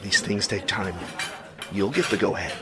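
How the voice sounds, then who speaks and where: An actor playing an easygoing, playful and supportive boyfriend in an audio drama, with casual banter. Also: male voice, fairly low pitch, lively intonation, moderate pace, noisy background.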